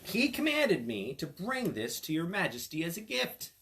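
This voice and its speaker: A sly storybook cat voiced by a man speaks with courtly confidence. The pitch is lively and swooping, with a polite, persuasive manner made to flatter. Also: normal speed, heavily acted.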